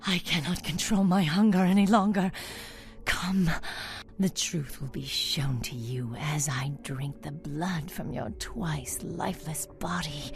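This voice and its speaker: Seductive female vampire zealot, very low gravelly purr, slow, deranged, predatory, game villain, faint music. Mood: ecstatic, hungry. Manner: breathy, drawn-out, theatrical purring. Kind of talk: video game villain dialogue. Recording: music behind the voice.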